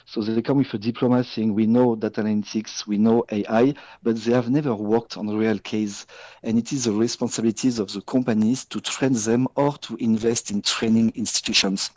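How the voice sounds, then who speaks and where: A man from France with a French accent, answering a radio business interview in measured English with frequent hesitations and restarts. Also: lowish voice, steady tone, a little mellow, no echo, rough recording. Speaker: business guest.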